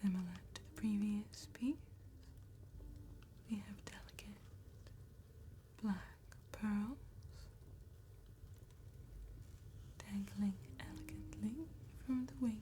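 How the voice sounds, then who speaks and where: An elegant female vampire acted out by a woman whispers in a gothic ASMR roleplay. Her delivery is extremely slow, mellow and breathy, with formal, courteous phrasing. A steady noise sits faintly behind the voice. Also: mid-range voice, natural voice. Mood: calm, admiring. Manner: formal, unhurried, precise, gentle. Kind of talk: soft-spoken ASMR roleplay, mostly whispered.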